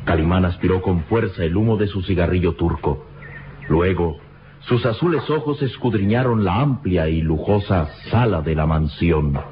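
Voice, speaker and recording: A man's voice: deep voice, normal speed, background noise. A man narrating an old radio serial in a dramatic, scripted style. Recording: dull old radio recording, music behind.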